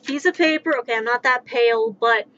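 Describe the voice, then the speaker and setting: A woman's voice: a little high, fairly quick, a bit bright. A woman live streamer chatting casually in a joking, playful, sweary way, who grew up with Spanish and sign language as first languages. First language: American Sign Language and Spanish. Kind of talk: casual chat with acted-out back-and-forth dialogue. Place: indoor livestream. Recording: dull lo-fi mic, faint background noise.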